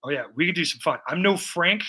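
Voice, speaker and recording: Man, 40s, live-stream host: rambling, profane, joking, with a sharp, bright tone. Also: on the high side, quick pace. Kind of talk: casual live-stream chat.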